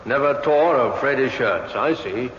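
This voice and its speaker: An actor playing a methodical, polite police detective asking questions in an old radio drama, formal and terse. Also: male voice, medium pitch, measured pace, fairly bright tone, a little hiss or hum, close to an everyday voice. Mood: inquiring. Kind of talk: 1950s radio drama acting. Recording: muffled, echoey old radio recording.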